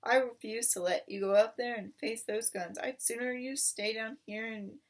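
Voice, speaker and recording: A woman's voice: on the high side, steady tone, moderate pace, distant mic, lightly acted. A woman voicing a protective mother fox in a storybook, firm and urgent. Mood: stern.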